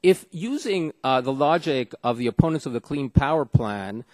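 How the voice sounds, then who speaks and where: A man from Argentina giving formal testimony as an expert witness at a hearing, arguing point by point in a halting, deliberate way. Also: mid-range voice, animated, darkish tone, dry recording. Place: legislative hearing room.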